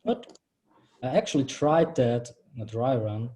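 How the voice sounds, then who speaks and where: Man, 30s, from Austria, mellow tone; steady, explanatory project presenter over a video call. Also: mid-range voice, a little slow. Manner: a little flustered by screen-sharing trouble, then steady and explanatory. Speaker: bootcamp graduate presenting a project. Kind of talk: live-streamed project presentation.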